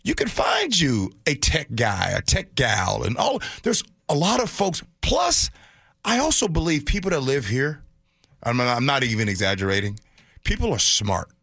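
A man hosting talk radio, bantering in an animated, colloquial way and putting his opinions straight to his co-hosts. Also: medium pitch, moderate pace, husky, dry recording, clean studio sound. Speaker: radio talk show host.

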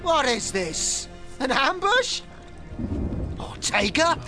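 An actor playing a greedy, menacing sea captain and slave trader in a radio drama, blustering and threatening. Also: male voice, extremely high pitch, sing-song, slow pace, over music, exaggerated voice. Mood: outraged.

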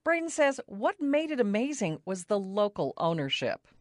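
A woman's voice: mid-range voice, sing-song, normal speed, no room sound. A woman radio reporter reading scripted documentary narration for a news feature. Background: music under the narration.

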